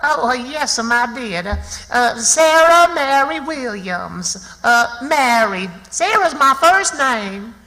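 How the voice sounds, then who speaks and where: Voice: high voice, expressive, takes it slowly, bright, forceful tone, heavily acted. An actor playing a Southern country boy who poses as a timid, polite little girl in radio theatre, hesitant and stammering. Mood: flustered. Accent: American South. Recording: long reverberant hall sound.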